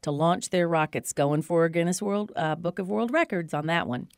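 A woman's voice: on the low side, normal speed, dry recording, studio quality. A woman on local radio giving an informative, conversational rundown of community events with emphatic, promotional phrasing.

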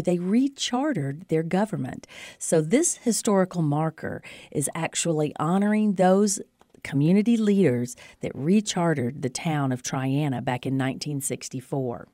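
A woman with a notably low voice presents community events on the radio, recorded very dry and close. She is conversational and informative, stressing her points like someone promoting them. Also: mellow, no room sound.

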